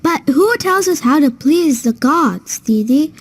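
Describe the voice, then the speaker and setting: Woman as little cartoon kid, high voice, curious, eager, wide-eyed, full of questions. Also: animated, a little slow. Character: curious, eager young child, younger sibling, awed. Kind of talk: children's educational cartoon dialogue.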